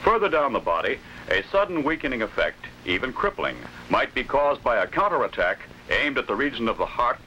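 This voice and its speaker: A forceful American man narrates an old instructional film in a formal, authoritative manner. His pitch moves in lively steps as he carefully enunciates each phrase, over a noisy vintage soundtrack. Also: brisk, hardly any pauses.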